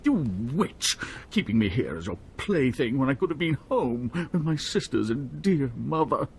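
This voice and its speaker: Brief: a whiny pampered game noble, very lively pitch, hesitant and rattled. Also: male voice, moderate pace, gravelly, slight background noise. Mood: flustered. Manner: flustered, hesitant and pleading. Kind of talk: video game cutscene acting.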